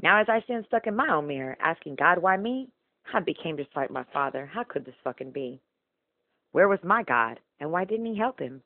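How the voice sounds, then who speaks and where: A woman's voice: low voice, animated, normal speed, no room sound, phone-quality sound. A woman performing spoken-word slam poetry in an emotional, rhythmic, rhyming delivery. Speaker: slam poet.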